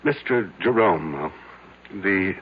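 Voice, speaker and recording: An actor in a radio drama playing a measured, probing psychiatrist. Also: male voice, sits low, animated, a little hiss or hum. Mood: measured, puzzled. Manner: measured, questioning. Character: measured, probing male psychiatrist questioning a patient. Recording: muffled old radio broadcast.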